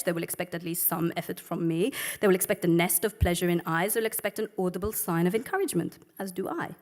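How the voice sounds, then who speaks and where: A woman's voice: fairly low pitch, gravelly, darkish tone. A woman voicing a worldly Egyptian woman with an Egyptian accent, reading a monologue with a dry, mocking delivery. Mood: scornful. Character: wry, worldly Egyptian woman, sharp-tongued and unimpressed.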